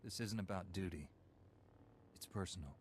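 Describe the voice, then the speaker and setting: An actor playing a determined, protective Jedi knight in a video game, firm and resolute. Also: male voice, sits low, normal speed, a little hiss or hum, natural voice. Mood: defiant.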